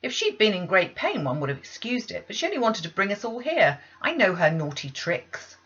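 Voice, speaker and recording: A woman's voice: medium pitch, very animated, fairly quick, fairly bright tone, slightly rough, highs cut off, pitched above the performer's own voice. A woman voicing a scornful female servant who exclaims with indignation, in a storybook reading. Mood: disgusted.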